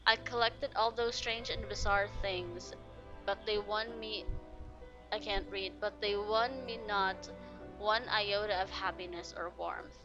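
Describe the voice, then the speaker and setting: A woman casually reacting to a video game and reading aloud, expressive and jumping from quiet to loud. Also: mid-range voice, highs cut off. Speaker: streamer playing a video game. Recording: game music behind the voice.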